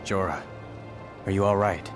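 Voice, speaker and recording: An actor playing a calm, sympathetic human adventurer in a fantasy video game, speaking gently and supportively. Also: male voice, deep voice, normal speed, faint music behind, natural voice. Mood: concerned.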